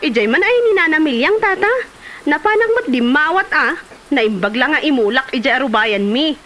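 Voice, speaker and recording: A woman's voice: sits high, animated, moderate pace, fairly bright tone, slight background noise. A woman with an Ilocano Filipino accent acting a chatty, envious village wife in a comedy drama. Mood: boastful.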